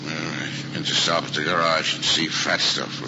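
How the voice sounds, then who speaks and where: An actor playing a big-hearted, bumbling American cab driver, folksy and stammering when flustered, in an old screen comedy-drama. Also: male voice, a bass voice, monotone, moderate pace. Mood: easygoing. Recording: muffled old film soundtrack, clear hiss.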